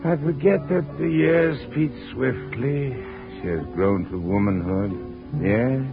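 An actor playing a dedicated middle-aged French scientist in an old-time radio drama, earnest and declamatory. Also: male voice, medium pitch, slow pace, vocal fry. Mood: wistful. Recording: tinny old radio recording with music.

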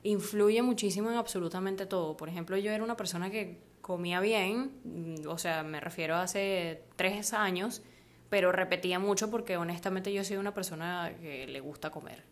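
A woman's voice: lowish voice, normal speed. A young woman with a Maracaibo Venezuelan accent, co-hosting a casual chat and laughing easily, with lots of "o sea". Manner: chatty, laughs easily, uses fillers like "o sea". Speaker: podcast co-host.

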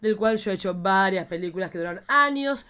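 A woman's voice: medium pitch, lively intonation, brisk, bright, forceful tone, smooth. An Argentine woman hosting a casual chat show in an irreverent, theatrical, slangy way. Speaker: podcast host.

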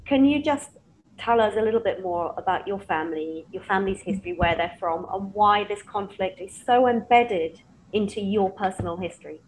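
A woman's voice: medium pitch, normal speed, a little hiss or hum, rough recording. Woman podcast host with hesitant "um"s. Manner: warm welcoming questioner with hesitant "um" starts. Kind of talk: live chat interview.

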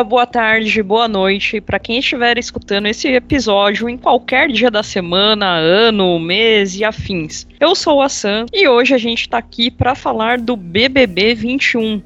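Woman podcast host, slightly high voice, laid-back and jokey, dull lo-fi recording with music under. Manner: relaxed, jokey, swears freely. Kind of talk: casual podcast chat. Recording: dull lo-fi sound with music behind.

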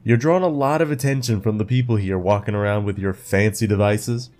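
An actor playing a suspicious, territorial guard in a sci-fi audio drama, stern and challenging. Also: male voice, lowish voice, steady tone, brisk, a little mellow, faint music behind, natural voice.

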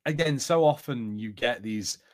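A man's voice: medium pitch, normal speed, dry recording, clean studio sound. A man with an English accent co-hosting a podcast, talking casually in a film and TV review discussion. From England. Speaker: podcast co-host.

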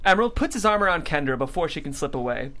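A script narrator with a mid-pitched voice and lively movement in it. The reading is scripted stage directions for a comedy table read. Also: fast.

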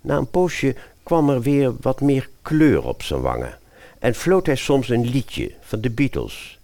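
A man's voice: mid-range voice, huge pitch swings, unhurried, warm tone. A man narrating a satirical fairy tale as storyteller, expressively and with wry asides to the listener.

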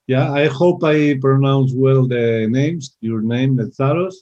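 A man's voice: medium pitch, slow pace, dark tone, lo-fi sound. A man from Spain with a Spanish accent, hosting a wine radio interview in English with many filler uhs. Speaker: radio show host.

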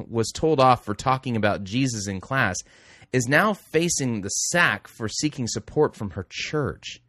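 A man's voice: mid-range voice, fairly bright tone, dry recording, studio quality. A middle-aged man hosting a talk show, reading news aloud with rambling, self-correcting, wry asides. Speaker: radio host. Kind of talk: casual talk show commentary.

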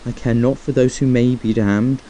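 Man as guilt-ridden companion, period drama, mellow voice, archaic solemn delivery. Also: mid-range voice, fairly even intonation, moderate pace, a little hiss or hum, natural voice. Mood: pleading. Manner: solemn, old-fashioned speech rising to desperate pleading. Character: guilt-torn male companion, fearful and remorseful.

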